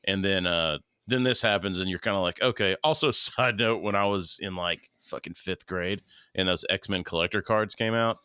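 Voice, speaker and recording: A man's voice: low voice, moderate pace, pushed and bright, clean tone, dry recording, studio quality. A man in his thirties co-hosting a podcast, chatting casually, swearing freely and joking. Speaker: podcast co-host. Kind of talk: casual chat.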